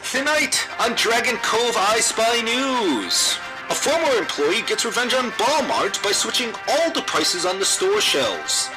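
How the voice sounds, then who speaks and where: Local news anchor: hugely animated delivery, melodramatic, with music underneath. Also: male voice, very high voice, measured pace, heavily acted, poor audio. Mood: newsy, dramatic. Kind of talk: broadcast news parody.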